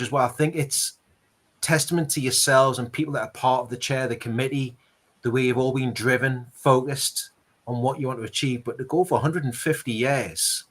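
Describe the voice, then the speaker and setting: Bright-voiced Geordie man. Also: mid-range voice. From Northeast England. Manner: earnest, rambling, repeats words. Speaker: interview host, charity ambassador. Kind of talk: casual conversational interview.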